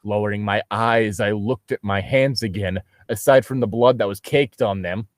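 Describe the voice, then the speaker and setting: A man's voice: fairly low pitch, normal speed, no echo, studio quality. A man co-hosting a casual comedy reading, reading aloud and breaking off into sarcastic, sweary tangents. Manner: sarcastic, swears freely, goes on mocking tangents. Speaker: co-host reading a fan fiction aloud and mocking it. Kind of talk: casual comedic commentary between read-aloud passages.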